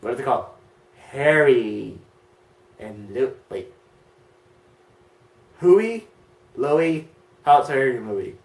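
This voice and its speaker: Teen vlogger reacting to his old clips; bouncy, excitable delivery with lots of pitch swings, recorded a bit distant in a roomy bedroom. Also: slow pace, pauses often. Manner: excitable, embarrassed, jumps between mutters and yelps. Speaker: YouTuber reacting to old videos. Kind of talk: casual reaction commentary. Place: bedroom, indoors, hugging a big stuffed bear.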